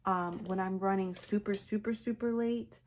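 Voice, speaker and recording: A young woman with a raspy, congested voice from a sinus infection, chatting casually through a quick makeup tutorial with lots of fillers. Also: mid-range voice, steady tone, a little hiss or hum. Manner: chatty, rambling with many fillers. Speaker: beauty vlogger.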